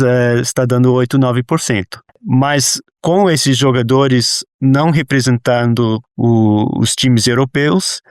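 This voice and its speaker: A man's voice: medium pitch, dry recording, studio quality. A man with an American accent speaking Portuguese as a guest researcher, answering interview questions hesitantly with many restarts and fillers. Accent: American English. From Brazil and the United States.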